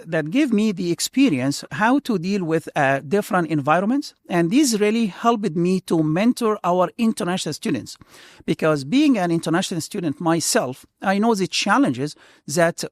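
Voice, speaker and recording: A man from Egypt, a computer science professor in his middle years, answers interview questions earnestly, often restarting his phrases. His voice sits fairly high for a man. The recording is dry and close, with no room sound. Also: no room sound.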